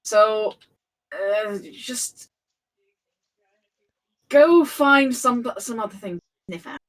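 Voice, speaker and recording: A woman's voice: fairly high pitch, drawn-out words, poor audio. A woman playing a tabletop game, pleading and exasperated with her party. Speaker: player.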